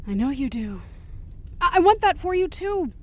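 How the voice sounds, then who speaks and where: A woman's voice: very high voice, sing-song, normal speed, a little mellow. A woman playing a patient, placating middle-aged mother in an audio drama, reassuring and coaxing.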